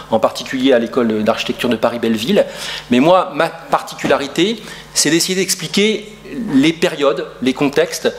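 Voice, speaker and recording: A middle-aged man giving an academic lecture on urban history in a lecture room, talking with many fillers and restarts, recorded by a camera some distance away. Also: mid-range voice.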